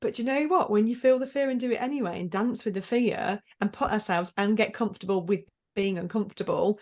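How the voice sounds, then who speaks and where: A woman's voice: medium pitch, brisk, darkish tone. A woman co-hosting a podcast who speaks with enthusiasm and encouragement and often ends her points with tag questions. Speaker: podcast co-host. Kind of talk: casual conversation.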